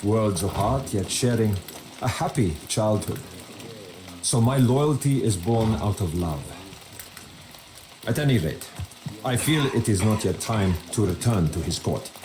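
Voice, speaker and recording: An actor playing a loyal, courteous nobleman in a medieval video game, speaking in a measured, earnest way. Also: fairly low pitch, animated, background noise. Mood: earnest, polite. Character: loyal, courteous Middle Eastern nobleman, childhood friend of a Sultan. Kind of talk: dramatic video game cutscene acting. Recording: echoey voice over loud game music.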